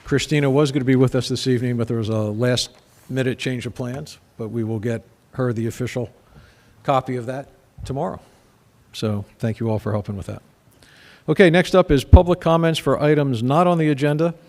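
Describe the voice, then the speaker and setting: A man's voice: medium pitch, fairly quick. A man chairs a council meeting as mayor, his mid-range voice carrying through a reverberant meeting room. He moves easily between formal wording and direct, practical instructions. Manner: formal when reading, then relaxed and direct.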